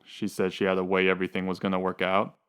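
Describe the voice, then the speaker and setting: A man's voice: low-pitched, monotone, fast, fairly bright tone, clean tone. A man playing a dejected, lovesick suspect under questioning in a radio drama, plainspoken and unsure.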